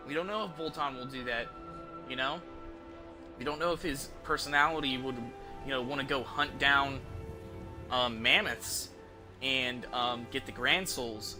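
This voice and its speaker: A man giving casual live commentary as a video game streamer, rambling informally and repeating himself. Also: mid-range voice. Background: game music and sounds.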